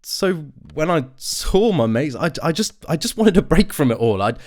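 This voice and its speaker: A male actor playing an anxious, overwhelmed young British father, confiding haltingly and apologetically in a naturalistic audio drama. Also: on the high side, big pitch swings. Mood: shaken, confessional.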